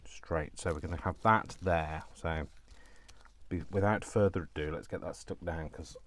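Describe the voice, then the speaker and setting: A man's voice: deep voice, moderate pace, fairly bright tone. A man, chatting casually while he works on a craft project and making self-mocking jokes. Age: 50s. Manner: rambling, self-mocking, jokey asides. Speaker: crafting channel host. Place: home craft room. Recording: faint background noise and clicks.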